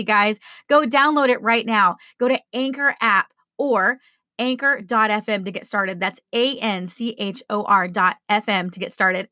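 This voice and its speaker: A woman's voice: on the high side, bright, forceful tone, dry recording. A woman reading a sponsor message in an enthusiastic way, talking straight to her listeners. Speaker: sponsor ad reader. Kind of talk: upbeat sponsor read.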